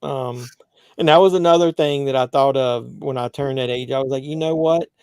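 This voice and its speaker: A man in his fifties hosting a casual live movie chat, relaxed, reading viewer comments aloud. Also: medium pitch, moderate pace, smooth, no room sound. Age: 50s. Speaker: YouTube movie channel host.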